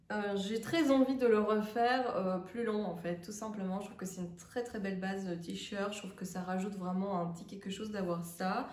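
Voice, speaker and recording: A woman with a stuffy nose from a cold, chatting casually as a sewing vlogger and pausing often with fillers. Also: mid-range voice, a little mellow. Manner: chatty, hesitant with many fillers. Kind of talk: casual vlog chat. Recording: roomy, distant from the mic.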